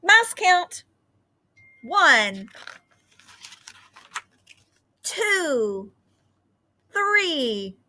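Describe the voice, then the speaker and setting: A woman voicing a hungry, greedy male snake from a storybook, with deliberate, sing-song delivery. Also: very high-pitched, big pitch swings, bright, forceful tone, voice pushed up, exaggerated voice. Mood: eager counting.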